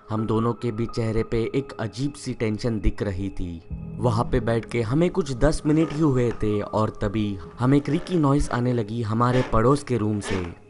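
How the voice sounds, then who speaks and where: A young man narrating a first-person horror story in a steady storytelling voice, slipping English phrases into his telling, and closing as the channel's host. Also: medium pitch.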